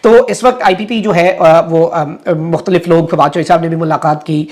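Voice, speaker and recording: A man's voice: fairly high pitch, steady tone, moderate pace, darkish tone. A man with a Pakistani accent presenting political news commentary to viewers, mixing English phrases into his talk.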